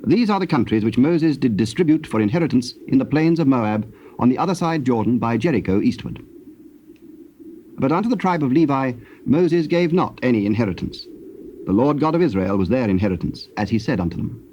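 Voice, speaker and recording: Male Bible-drama narrator, medium pitch, very mellow, formal and measured, on dull cassette sound. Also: animated. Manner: measured, formal, solemn reading. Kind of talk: scripted dramatized scripture narration. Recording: muffled old cassette tape, background noise.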